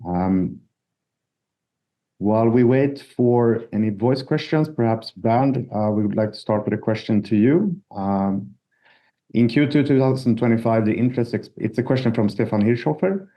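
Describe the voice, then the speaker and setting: A man's voice: medium pitch, normal speed, mellow, rough recording. A man moderating a formal earnings call, taking and reading out questions.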